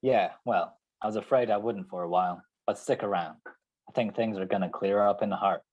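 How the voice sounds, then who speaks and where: An actor playing a hard-boiled, wry, unflappable private detective in an old-time radio drama, talking in terse, sardonic lines. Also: male voice, fairly low pitch, brisk, lo-fi sound, natural voice. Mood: dry, wry. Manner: sardonic, terse tough-guy talk.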